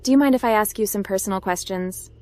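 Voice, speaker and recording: A woman's voice: mid-range voice, fast, a little mellow, natural voice. A woman playing a polite, curious spacefaring adventurer in a video game. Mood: curious, friendly. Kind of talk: video game protagonist dialogue.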